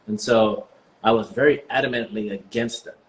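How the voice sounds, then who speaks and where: A man in his forties with a fairly low voice answers interview questions about his own path, calm and reflective. He talks in long, loose stretches full of small fillers and restarts. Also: moderate pace, slight background noise, poor audio. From born in the US, raised partly in Jamaica. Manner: relaxed, reflective storytelling with many "you know" fillers. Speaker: guest, vegan bodybuilder.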